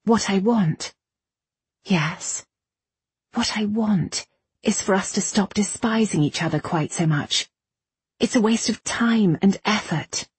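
A woman's voice: medium pitch, slow pace, long pauses, slightly rough, no room sound, pitched above the performer's own voice. A woman narrator voicing a wounded, stubborn young woman who speaks bluntly and with weary, sardonic insistence. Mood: blunt, insistent.

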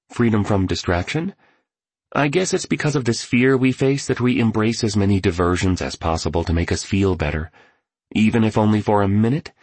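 Man voicing an inquisitive, low-voiced entrepreneur. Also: a little mellow, husky, no room sound. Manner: tentative, questioning.

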